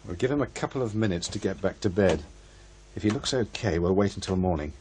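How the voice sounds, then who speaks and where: An actor playing a brisk, persuasive, impatient paranormal radio producer in a horror radio drama. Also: male voice, deep voice, lively intonation, darkish tone, a little hiss or hum. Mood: concerned, brisk.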